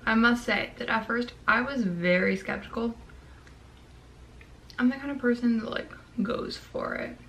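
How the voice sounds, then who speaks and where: A young woman with a crackly, gravelly voice chats to camera from a small bedroom, nibbling ice cream between sentences. Her voice sits a little high, and a faint hum of room noise sits under it. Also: a bit bright. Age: young adult. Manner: chatty, eating ice cream while talking. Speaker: vlogger. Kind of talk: casual chat to camera.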